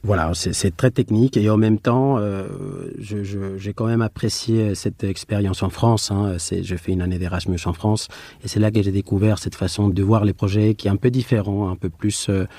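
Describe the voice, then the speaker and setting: A man from Spain with a Spanish accent, answering as an interview guest and often pausing to rephrase. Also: fairly low pitch, steady tone, mellow, no echo. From Madrid, Spain.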